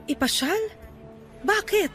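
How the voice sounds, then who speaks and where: Balisang dating nobya, napakataas ang boses. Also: female voice, very high-pitched, expressive, takes it slowly, fairly bright tone, exaggerated voice. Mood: shy, surprised. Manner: emotional, protesting. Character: bewildered, guarded middle-aged former girlfriend. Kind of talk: radio drama acting in Tagalog. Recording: music under the voice.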